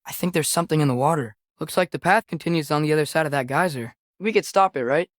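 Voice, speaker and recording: Young guy playing a curious earnest teen boy in a video game, kinda high voice, dry studio sound. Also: fast, no echo, lightly acted. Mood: curious, eager. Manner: earnest, youthful, conversational. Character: earnest, curious teenage boy, son of a warrior father. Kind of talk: naturalistic video game voice acting.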